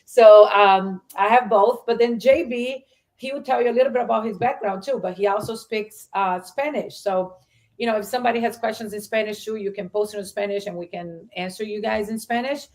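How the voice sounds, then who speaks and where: A woman's voice: medium pitch, steady tone, brisk, a little mellow. Woman host, from Argentina, chatty, restarts sentences, live stream.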